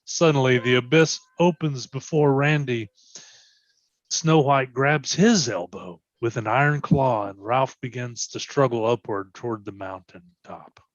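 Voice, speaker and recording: A man narrating scripted stage directions for a readers theatre piece in a measured, descriptive way. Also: medium pitch, no room sound, dull audio.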